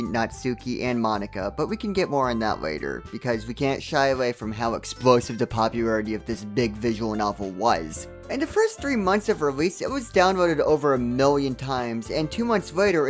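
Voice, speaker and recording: Male game reviewer, very lively pitch, sarcastic comedic narration over background music. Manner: sarcastic, joking asides.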